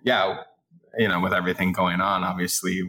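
Laughing, rambling man, lowish voice. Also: little pitch movement, fairly quick, sharp tone. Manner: laughing, rambling, self-deprecating. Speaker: actor guest. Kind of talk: casual podcast interview.

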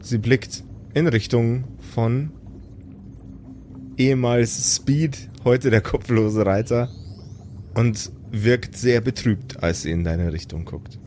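A man narrating an improvised tabletop audio drama as game master, with deliberate, dramatic phrasing. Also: mid-range voice, mellow, a little hiss or hum.

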